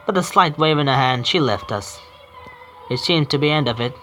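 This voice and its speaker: Man streamer, reading game text aloud, stumbling and self-correcting, very bright voice. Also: fairly high pitch, normal speed, a little hiss or hum. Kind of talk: reading a visual novel aloud.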